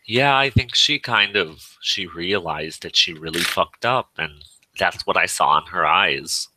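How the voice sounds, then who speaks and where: A man with a very deep voice in casual chat, telling a personal story in an emphatic, rambling way and acting out what was said. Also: a little slow, noisy background. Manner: emphatic, rambling, acts out quoted remarks. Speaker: participant in an online voice chat.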